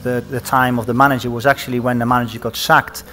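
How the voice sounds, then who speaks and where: Icelandic man with a flat, halting voice. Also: mid-range voice, faint music behind. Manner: hesitant, many fillers and restarts. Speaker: panelist, former footballer. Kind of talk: conference panel talk. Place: large conference stage. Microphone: headset mic.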